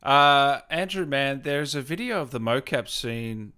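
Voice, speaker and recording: A man's voice: mid-range voice, lively intonation, unhurried, bright, forceful tone, smooth. A man hosting a casual livestream interview, chatting with a guest and reading out questions from viewers. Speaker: host, interviewer.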